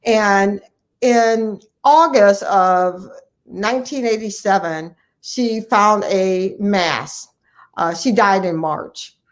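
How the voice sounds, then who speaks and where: A middle-aged American woman speaking as an interview guest, telling personal stories in a conversational way. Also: medium pitch. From Cleveland, Ohio, United States. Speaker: interview guest, family physician.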